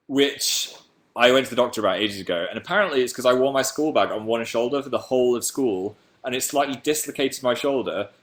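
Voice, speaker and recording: A man in his twenties from England with a deep voice, chatting playfully on a webcam livestream while reading comments. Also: fairly bright tone. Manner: playful, jumps between high and low pitch. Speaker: livestream host. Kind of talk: casual live chat reading comments.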